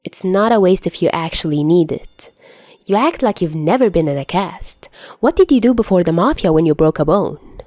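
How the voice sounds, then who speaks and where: A woman's voice: fairly low pitch, expressive, moderate pace, mellow, no room sound, clean studio sound, natural voice. Woman playing a smug, bickering teenage boy. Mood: nagging. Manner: teasing, condescending.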